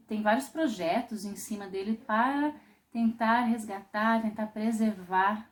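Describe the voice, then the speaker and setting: A woman from Brazil teaches a popular singing class in a voice of ordinary pitch for a woman. She explains thoughtfully, stopping often to rephrase herself. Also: a little hiss or hum. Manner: thoughtful, explanatory, with frequent pauses and restarts. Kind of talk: online music lesson.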